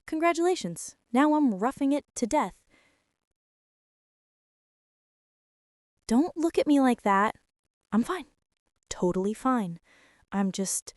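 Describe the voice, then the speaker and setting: A woman's voice: medium pitch, darkish tone, no room sound, close to an everyday voice. A young woman playing a prickly, defensive teenage school bully in an intimate girlfriend ASMR roleplay, sulky and sarcastic, close to the mic. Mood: grumbling. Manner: sulky, sarcastic, huffy, with sighs and whispers.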